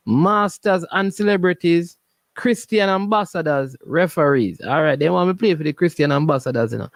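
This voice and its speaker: Casting: male Jamaican call-in host with a high-pitched voice, casual and joking, recorded dry. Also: no room sound. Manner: animated, jocular, repeats phrases. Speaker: host of a sports call-in show. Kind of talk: casual live call-in chat in Patois.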